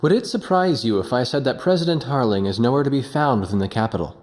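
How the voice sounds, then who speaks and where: An actor playing an earnest, sober war journalist in scripted video game narration and dialogue. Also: male voice, medium pitch, lively intonation, fast talker, a little mellow, no room sound, lightly acted. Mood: confiding.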